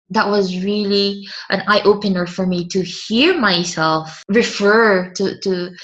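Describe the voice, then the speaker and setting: A woman's voice: medium pitch, fairly bright tone, rough recording. A woman, a guest speaking hesitantly and often restarting her words. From Philippines. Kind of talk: conversational interview.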